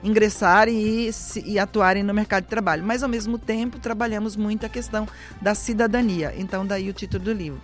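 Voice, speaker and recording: A Brazilian woman in her sixties, a veteran teacher, speaking warmly and with conviction as a radio interview guest. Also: mid-range voice, fairly even intonation, brisk, faint music behind. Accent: Brazilian Portuguese. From central-west Brazil. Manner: warm, emphatic, speaks with conviction. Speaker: retired teacher and former councilwoman.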